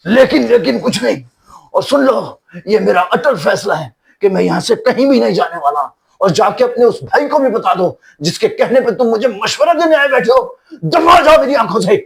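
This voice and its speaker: A man playing an ailing, stubborn and pious elderly father in a moral short drama, with a husky, gravelly voice. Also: very high voice, lively intonation, moderate pace, exaggerated voice. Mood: stern, defiant. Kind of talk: Urdu moral short-film acting.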